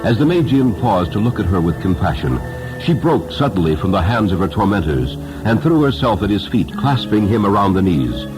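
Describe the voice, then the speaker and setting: A man with a very low voice reads a story aloud as a dramatic narrator. His delivery is solemn and deliberate, with wide, expressive swings in pitch, and music plays clearly behind him. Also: poor audio. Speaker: narrator, audiobook reader.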